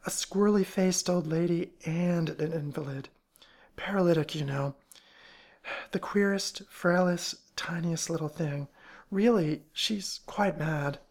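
A woman's voice: on the low side, a little slow, darkish tone. A woman voicing an eager, gossipy young female shop clerk in a storybook reading, chatty and confiding.

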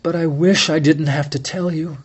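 A man's voice: on the high side, steady tone, moderate pace, slightly rough, voice pushed up. A man voicing a grieving young woman who falters and stammers through a tearful plea, for an audiobook. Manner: faltering, tremulous, stammers under pressure.

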